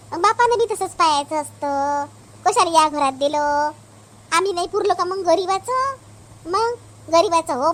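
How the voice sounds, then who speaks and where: A woman acts out a feisty young daughter-in-law in a cartoon household. The voice is extremely high, almost childlike in pitch, blunt and outspoken in a Varhadi Marathi accent. Faint noise sits behind it. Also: a bit bright. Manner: blunt, colloquial, rhetorical questioning. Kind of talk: cartoon family drama dialogue.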